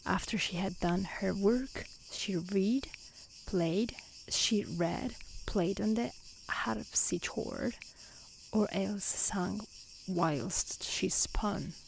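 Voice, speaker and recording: A woman softly reading a fairy tale aloud in a gentle, hushed ASMR style that often slips toward a whisper. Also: mid-range voice, extremely slow, vocal fry, low-quality recording. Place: outdoors in nature. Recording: faint outdoor hiss with crickets and insects.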